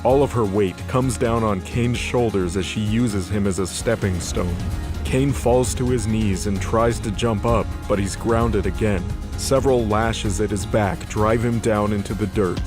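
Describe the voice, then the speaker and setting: A man's voice: fairly low pitch, a little slow, over music. A man reading scripted, dramatic narration as the narrator of a fantasy audio drama.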